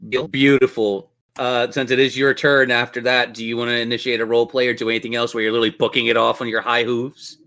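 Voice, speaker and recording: A man's voice: mid-range voice, little pitch movement, quick pace, hardly any pauses, fairly bright tone. A man running a tabletop role-playing game as game master, telling the story in a descriptive, theatrical way.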